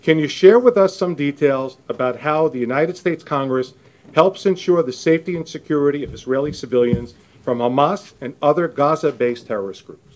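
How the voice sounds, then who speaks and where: A man's voice: on the high side, a little fast, slight background noise. A man giving a chatty, anecdotal speech at a podium, dropping in self-deprecating asides. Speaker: event emcee.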